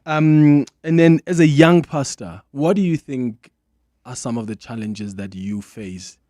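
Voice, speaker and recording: A South African man hosting a radio interview, asking questions and reacting playfully with quick interjections. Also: mid-range voice, dark tone, dry recording, studio quality. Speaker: radio host. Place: radio studio.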